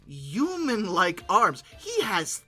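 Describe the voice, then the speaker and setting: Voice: medium pitch, wildly swooping pitch, slow pace, bright, forceful tone, husky, faint music behind. A host giving comedic commentary on pictures in informal, slangy talk, with exasperated, dramatic emphasis. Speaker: host of a paleoart commentary show.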